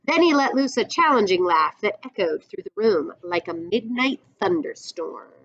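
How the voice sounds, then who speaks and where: Middle-aged woman, teacher, storybook read-aloud, animated highish pitch, deliberate, slightly dull webcam audio. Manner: expressive, deliberate. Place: indoors, classroom-like room.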